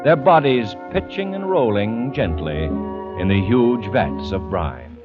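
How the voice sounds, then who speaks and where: A man's voice: medium pitch, very animated, unhurried. A man giving dramatic scripted narration in an old-time radio drama. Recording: muffled vintage radio recording. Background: music.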